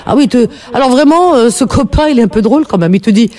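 A woman's voice: a little high, animated, fast, mellow. A woman hosting a call-in radio show, lecturing her caller in an emphatic, indignant way.

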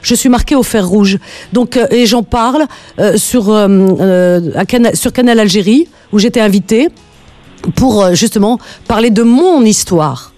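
A woman's voice: fairly high pitch, normal speed, mellow, faint music behind. A woman hosting a live radio call-in, speaking in an earnest, emphatic way and repeating phrases for emphasis.

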